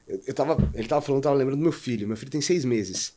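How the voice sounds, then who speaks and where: A man's voice: mid-range voice, rapid-fire, mellow. A Brazilian man as a podcast guest, discussing business casually and informally, repeating himself for emphasis.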